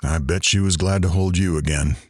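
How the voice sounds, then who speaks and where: Very deep-voiced actor as male radio host. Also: fairly even intonation, fairly quick, no echo, clean studio sound. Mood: probing, sympathetic. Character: sympathetic male radio talk show host, philosophical. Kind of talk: audio drama radio talk show hosting.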